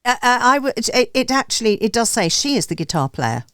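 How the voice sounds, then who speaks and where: A woman's voice: a little high, big pitch swings, fairly quick, a bit bright, dry recording, clean studio sound. A woman hosting a music review panel informally, polite and somewhat hesitant as she moves between speakers. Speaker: host of a music review show.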